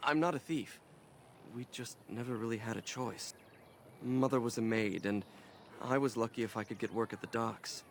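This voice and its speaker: An actor playing a humble, earnest young city elf in conversational video game dialogue. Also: male voice, mid-range voice, normal speed, slight background noise, lightly acted. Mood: rueful, sincere. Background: game music.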